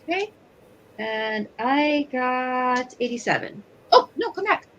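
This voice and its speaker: A woman's voice: sits high, expressive, slow, a bit bright. A woman chatting casually as a player in a tabletop roleplaying game. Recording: slightly dull, lo-fi sound.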